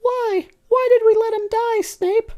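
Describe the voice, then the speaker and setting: A woman voicing a small female alien creature who wails in a very high voice over her lost brother. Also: normal speed, warm tone, highs cut off. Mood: tearful. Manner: high tearful wailing.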